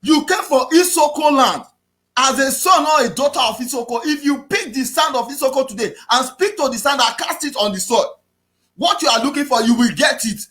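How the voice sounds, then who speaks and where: A man with a Nigerian accent giving an impassioned political talk, repeating himself and often raising his voice to a shout. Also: very high-pitched, lively intonation, fairly quick. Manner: preachy, repetitive, often shouting. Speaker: activist speaker.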